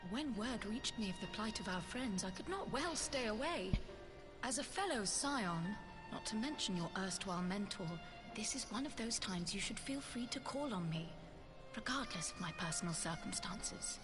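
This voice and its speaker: A woman's voice: mid-range voice, very animated, moderate pace, lightly acted. An actress voicing a kindly, self-assured woman mentor in a video game cutscene, with genteel, old-fashioned formal wording. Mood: composed, helpful. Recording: game audio with music behind.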